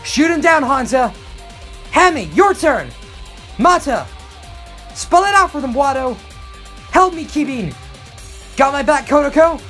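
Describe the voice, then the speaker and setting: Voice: high voice, lively intonation, a little slow, pauses often, fairly bright tone, soft background music, pitched above the performer's own voice. A person voicing an earnest, protective teenage boy animator in anime game voice lines, speaking emphatically. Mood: determined, urgent. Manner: emphatic, dramatic delivery.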